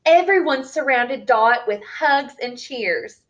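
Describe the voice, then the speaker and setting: High-voiced woman reading a children's story slowly. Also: lo-fi sound. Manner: expressive, deliberate delivery for young children. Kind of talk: read-aloud picture book storytelling.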